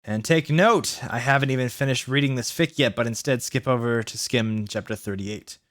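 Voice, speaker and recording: A man's voice: mid-range voice, normal speed, a bit bright, dry recording, studio quality. Theatrical man reading a story aloud. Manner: theatrical, exclaims quoted lines, mocking asides. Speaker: host reading fan fiction aloud as a challenge. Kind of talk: dramatic read-aloud with ad-libbed asides.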